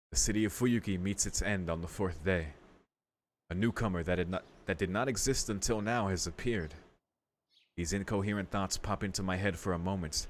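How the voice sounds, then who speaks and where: A man's voice: low voice, a little hiss or hum. A man casually reading a visual novel aloud and reacting as a let's play host. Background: faint game music.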